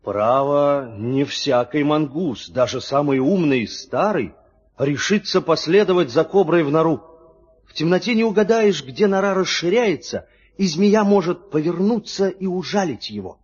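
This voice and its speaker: A man acting as narrator of a children's animal tale, with expressive theatrical storytelling. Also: fairly high pitch, moderate pace, husky. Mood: grave, knowing. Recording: dull old vinyl record with music.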